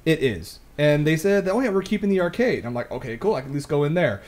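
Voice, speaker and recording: A man's voice: fairly high pitch, lively intonation, fast talker, darkish tone. A man with an American accent talking casually as a podcast co-host, recorded on a microphone in a small home room. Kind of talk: casual chat.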